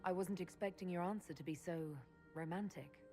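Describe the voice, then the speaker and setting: A woman's voice: fairly low pitch, brisk, mellow, close to an everyday voice. A woman playing a level-headed adventurer and leader in a fantasy game, speaking in a direct, questioning manner. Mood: curious, probing. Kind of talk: fantasy game dialogue acting. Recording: prominent music behind the voice.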